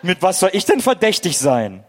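In a long-reverb hall, a man plays a theatrical boy detective in a parody radio play. The high voice is declamatory and accusing. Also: huge pitch swings, moderate pace, slight background noise. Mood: suspicious. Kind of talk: live comedy radio play acting.

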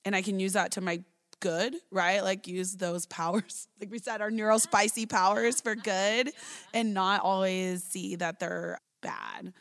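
A woman's voice: medium pitch, fairly even intonation, a little slow, a bit bright. A woman in her thirties, an early childhood educator, telling her personal story in an interview, earnest and talky with lots of "like" and "right?".

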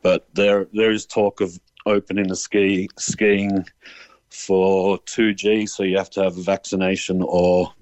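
Aussie bloke calling in to a radio show, deep dry voice, hesitant, kinda fed up, casual answers. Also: male voice, flat delivery, measured pace, darkish tone, dry recording. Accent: Australian. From born in Ireland, grew up in Australia. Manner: matter-of-fact, fed up, hesitant. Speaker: phone-in guest.

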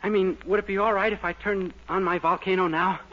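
A young man starring in a radio comedy, with boyish, goofy, excitable delivery. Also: sits high, a little fast. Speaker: star of a radio sitcom. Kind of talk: 1940s radio comedy acting. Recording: dull old radio recording with hiss.

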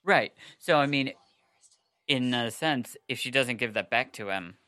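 A woman co-hosting a fan podcast, chatting casually and giggling, her voice a little husky. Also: very deep voice, animated, measured pace, fairly bright tone, no echo. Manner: giggly, chatty, lots of "like" and "I mean". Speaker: podcast co-host.

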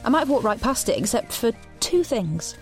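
An actress playing a defiant, protective teenage sister, blunt and sardonic, in an audio drama. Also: female voice, on the high side, sing-song, moderate pace. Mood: reflective. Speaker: lead actor and narrator. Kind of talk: audio drama narration and dialogue. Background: background music.

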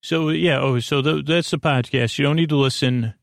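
A man with a creaky, gravelly voice hosts a sleep podcast, gently and reassuringly rambling in a meandering, halting monologue that trails off mid-thought. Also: a little high, fairly even intonation, dry recording, clean studio sound. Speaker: podcast host.

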